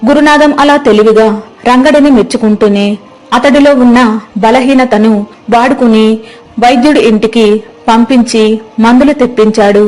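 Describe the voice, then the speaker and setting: A woman's voice: on the high side, moderate pace, long pauses, a little mellow, poor audio. A woman narrating a scripted moral story as its storyteller.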